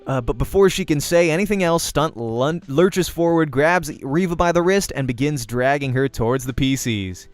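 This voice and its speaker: A man's voice: on the high side, lively intonation, moderate pace, few pauses. A man reading a visual novel aloud as a let's-player, narrating the game story expressively.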